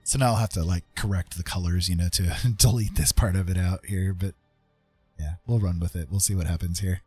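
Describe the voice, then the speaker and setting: Low, husky man rambling over faint music. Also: steady tone, a little fast, a little mellow, no room sound. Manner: hesitant, self-effacing, thinking aloud while drawing. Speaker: streamer and digital artist. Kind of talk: casual live stream chat with tutorial asides.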